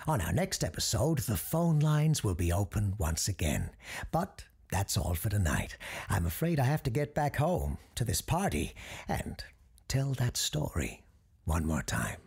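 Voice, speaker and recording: Slow, gravelly, theatrical storyteller. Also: low voice, wildly swooping pitch, darkish tone. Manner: theatrical, measured. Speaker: host and storyteller in a fiction podcast. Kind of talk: dramatic scripted ghost-story narration.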